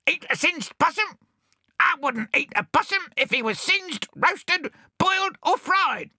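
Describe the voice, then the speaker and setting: A shrill cranky parrot swagman. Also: animated, a little slow, dry recording, clean studio sound, full character voice. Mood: cranky, scornful. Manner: shouting, scornful. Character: bad-tempered male parrot swagman.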